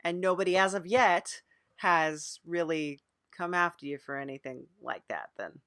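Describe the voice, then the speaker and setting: Low-voiced, halting woman podcast host. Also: a bit bright, no room sound, clean studio sound. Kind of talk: improvised comedy interview.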